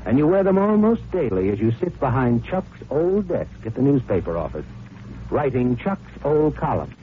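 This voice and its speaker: A man's voice: medium pitch, big pitch swings, a little hiss or hum, natural voice. A man playing a knowing, accusing narrator of an old-time radio mystery, dramatic and insinuating. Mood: ominous, knowing. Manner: ominous, knowing, deliberate. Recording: tinny old radio recording with music. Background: music.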